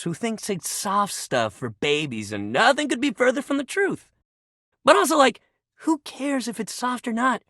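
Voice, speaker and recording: Peppy, high-pitched gym buddy. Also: male voice, sharp tone, dry recording, clean studio sound, exaggerated voice. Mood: earnest. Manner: peppy, encouraging, casual bro slang. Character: upbeat, supportive male gym-buddy fitness coach. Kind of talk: video game character voice lines.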